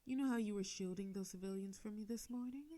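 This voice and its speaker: A woman's voice: medium pitch, dark tone, close to an everyday voice. A woman playing a sardonic telepathic supervillain in a scripted audio drama, with a theatrical, teasing way of drawing out her words. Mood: gentle, commanding. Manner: theatrical, taunting, drawn-out words. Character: sardonic female telepathic supervillain, menacing yet softening.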